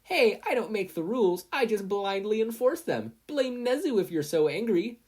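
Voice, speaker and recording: A woman's voice: mid-range voice, expressive, moderate pace, a little mellow, breathy edge, pitched above the performer's own voice. A woman voicing a bold, commanding female hero referee in an audiobook-style story, projecting like an announcer. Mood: brisk, unapologetic.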